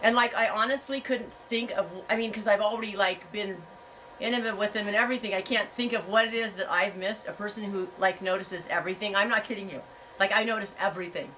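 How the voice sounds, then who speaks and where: A woman's voice: mid-range voice, fast talker, bright, forceful tone. A chatty woman telling a rambling story to her viewers, laughing often as she talks. Her nose is stuffed up from a head cold. She sounds a little distant from the mic, with a faint hiss of noise behind her. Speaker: yarn and fiber farm vlogger. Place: home on a farm.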